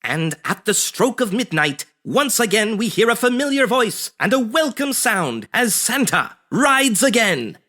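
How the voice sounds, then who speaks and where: A man playing a dramatic, excitable narrator of an old-time radio adventure, theatrical and heightened. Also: high voice, unhurried, hardly any pauses, exaggerated voice. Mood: storybook wonder. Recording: music behind the voice.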